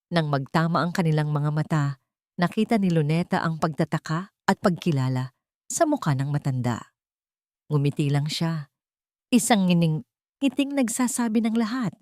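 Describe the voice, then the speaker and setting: A woman's voice: low voice, moderate pace, long pauses, a little mellow, no echo. A woman with a Filipino accent reading a scripted, dramatic romance story as its narrator.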